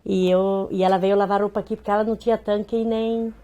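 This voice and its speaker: A woman's voice: mid-range voice, flat delivery, fast, mellow. Chatty southern Brazilian woman. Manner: chatty, with frequent "né?". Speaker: interviewee. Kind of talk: casual anecdote.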